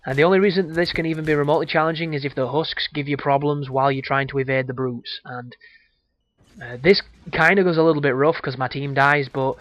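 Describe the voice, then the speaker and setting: Hoarse man commentating gameplay. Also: on the high side, fairly bright tone. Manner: casual, crude, rambling asides. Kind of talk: game walkthrough commentary.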